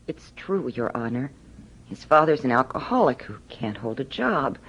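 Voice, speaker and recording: A woman's voice: very deep voice, very animated, moderate pace, fairly bright tone, slightly rough, exaggerated voice. An actress playing an anxious middle-aged mother in a radio drama. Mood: pleading. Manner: pleading, anxious. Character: worried mother of a teenage son. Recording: dull radio broadcast.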